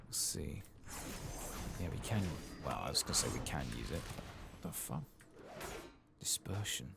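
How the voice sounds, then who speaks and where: A man giving soft-spoken, sometimes whispered ASMR commentary on a game, muttering casually with mild swearing over audible background noise. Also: rumbling low, big pitch swings, normal speed, gravelly. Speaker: game streamer, ASMR playthrough host.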